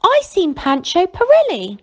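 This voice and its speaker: Woman doing an excitable, high-pitched fairground kid. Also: unhurried, full character voice. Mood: awestruck. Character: excitable awestruck fairground kids, streetwise.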